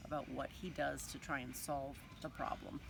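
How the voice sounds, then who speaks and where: Expressive woman reading a children's story, noisy background. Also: extremely low pitch, creaky. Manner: expressive storyteller, dramatic pauses and drawn-out words. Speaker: nursery school teacher reading aloud. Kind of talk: animated picture-book read-aloud for young children.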